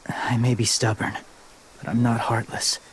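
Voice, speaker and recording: An actor playing a solemn, gentle male loner in a dramatic video game, speaking in an earnest, halting, sometimes hushed way. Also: mid-range voice, little pitch movement, a little hiss or hum, lightly acted, rough recording. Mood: solemn resolve. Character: solemn, gentle male loner who believes walkers are more. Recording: music under the voice.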